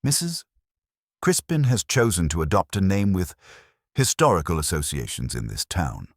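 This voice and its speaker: Disapproving male lawyer, late forties read by a man, measured, formal, very low and husky, mystery story. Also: big pitch swings, dry recording, studio quality, exaggerated voice. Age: 40s. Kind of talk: scripted mystery story dialogue.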